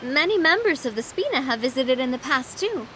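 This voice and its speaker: A woman's voice: sits high, very animated, fairly quick, pushed and bright, slight background noise, exaggerated voice, poor audio. A woman playing a confident, sentimental young female comrade in an anime game. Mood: friendly.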